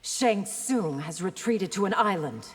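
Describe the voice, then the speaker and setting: A woman voicing a composed, dutiful warrior princess in a video game, speaking formally and with measured poise. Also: medium pitch, expressive, close to an everyday voice. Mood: composed, firm. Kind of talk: video game character acting. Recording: long reverb, loud background noise.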